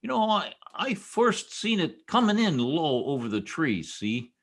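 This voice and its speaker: Male actor as boastful small-town husband, slangy, stammering bluster, very animated pitch, old-time radio comedy. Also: a little slow, heavily acted. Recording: video call recording.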